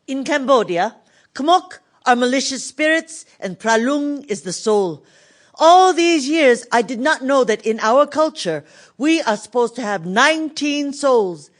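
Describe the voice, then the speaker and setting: An actress playing a dignified, resolute Cambodian government minister in a dramatic radio theatre monologue. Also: female voice, high voice, animated, a little slow. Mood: solemn, sorrowful. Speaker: actress in an audio play.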